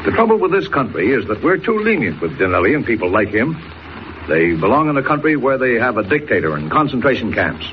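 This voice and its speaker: Guy playing hot-blooded american newspaper boss, declamatory and very animated, old muffled 40s radio. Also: medium pitch, fast talker, lightly acted. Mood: indignant, forceful. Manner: emphatic, declamatory. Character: blustery, crusading, hot-blooded male newspaper city editor. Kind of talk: 1940s radio acting. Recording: muffled old radio recording, faint noise.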